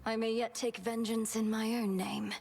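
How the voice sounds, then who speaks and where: An actress playing a determined, guarded young Underworld princess in a video game cutscene, earnest, formal and self-possessed. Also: female voice, on the high side, level tone, normal speed, gravelly, a little mellow, slight background noise. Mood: resolute.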